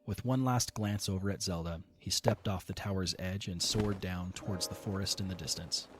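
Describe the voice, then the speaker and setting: A man narrating a fan audio drama in a calm, measured reading. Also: low-pitched, husky. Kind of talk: scripted storybook narration.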